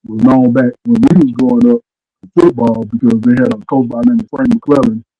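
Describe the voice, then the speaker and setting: A man's voice: mid-range voice, flat delivery, a little fast, warm tone, slight background noise, low-quality recording. A man, co-hosting a casual sports chat and telling laid-back stories. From Arkansas. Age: 40s. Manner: laid-back storytelling, trails off with "you know what I'm saying". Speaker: co-host.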